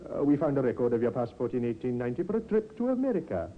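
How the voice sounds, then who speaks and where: A calm, methodical police inspector, played by a male actor questions in a formal, politely insinuating way, with a medium-pitched male voice. It is vintage radio drama, dulled and faintly noisy. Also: racing, natural voice. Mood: politely probing. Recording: muffled, hissy 1950s radio recording.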